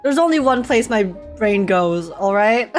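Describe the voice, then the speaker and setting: A woman's voice: medium pitch, lively intonation, measured pace, soft background music. A woman reacting live to a story game, casual and playful, exclaiming and poking fun at herself. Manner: playful, self-deprecating, exclaiming.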